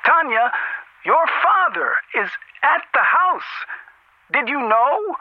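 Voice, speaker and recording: Fretful older woman on a dull phone line, voiced by a man, high and swooping. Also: very animated, takes it slowly, no room sound, exaggerated voice. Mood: worried. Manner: anxious, pleading. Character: worried older woman, an old family neighbor. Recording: muffled telephone line sound.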